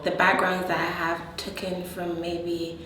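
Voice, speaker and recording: A woman's voice: lowish voice, completely flat delivery, unhurried. A woman originally from America, chatting casually as a guest in a group discussion. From United States. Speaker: panel guest. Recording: echoey room, distant mic, chatter behind.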